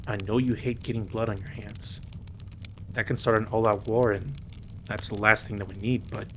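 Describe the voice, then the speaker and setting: A man's voice: fairly low pitch, a little hiss or hum. A man playing a protective, easygoing mafia boss with a wry streak in an audio roleplay. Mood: concerned.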